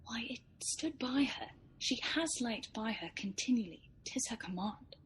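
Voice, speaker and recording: A woman's voice: a little high, animated, measured pace, a little hiss or hum. A woman playing a discreet, anxious attendant in a Shakespearean radio drama, guarded and confiding in delivery. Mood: hushed, anxious. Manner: guarded, confiding, often hushed.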